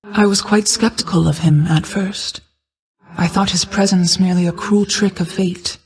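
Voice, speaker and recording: A woman voicing an ancient, stately goddess of night in a video game, a stern but caring mother who speaks in a formal, measured, regal way. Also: on the low side, darkish tone, close to an everyday voice, rough recording. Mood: solemn, reflective.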